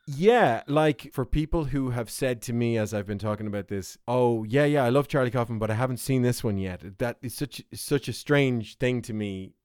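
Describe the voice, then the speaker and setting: A man's voice: medium pitch, moderate pace, few pauses, a little mellow, clear, resonant tone, no room sound, clean studio sound. A man hosting a podcast in a playful, jokey way, interviewing guests about a film. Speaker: podcast host. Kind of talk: casual chat.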